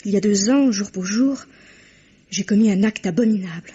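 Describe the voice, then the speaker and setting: An actress playing a haughty, frightened young woman in a vintage radio drama, defiant and sharp-tongued. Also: medium pitch, moderate pace, husky. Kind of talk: 1950s French radio drama acting. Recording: dull old radio recording.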